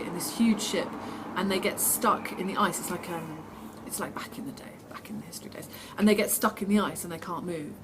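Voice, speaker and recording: A woman actress giving casual, chatty interview answers in a self-deprecating way, reading the questions out herself. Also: on the low side. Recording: steady background noise.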